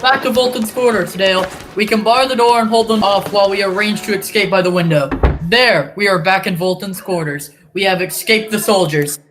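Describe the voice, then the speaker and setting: A man's voice: high-pitched, a little fast, few pauses, fairly bright tone, poor audio. Teen boy playing a gallant young space hero. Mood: urgent, commanding. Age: teenager. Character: heroic, gallant young space adventurer, determined protector. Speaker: student actor. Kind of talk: old-time radio serial acting. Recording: music behind the voice.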